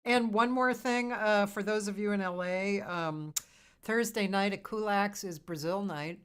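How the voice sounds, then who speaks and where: A woman hosting a relaxed, casual live interview chat. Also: mid-range voice, measured pace, clean tone. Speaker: host.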